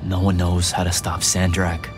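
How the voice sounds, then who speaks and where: A man's voice: low voice, monotone, moderate pace, natural voice. A man playing an earnest, uneasy young hero in a video game, speaking casually and a little hesitantly. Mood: anxious, earnest. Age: young adult. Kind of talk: video game cutscene acting. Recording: loud music over the voice.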